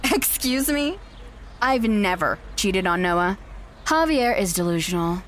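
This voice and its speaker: A woman's voice: medium pitch, expressive, measured pace, slight background noise, natural voice. An actress playing a cold, manipulative young woman who talks down to her rival in an audio drama. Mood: condescending. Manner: condescending, dismissive.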